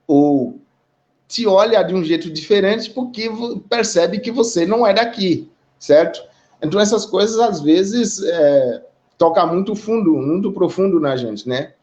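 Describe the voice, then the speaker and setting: A Haitian man speaking as a community guest in a live online discussion, talking in a measured, reflective way with frequent pauses and tag questions. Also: sits high, animated, a little mellow, lo-fi sound. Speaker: guest representing an immigrant community.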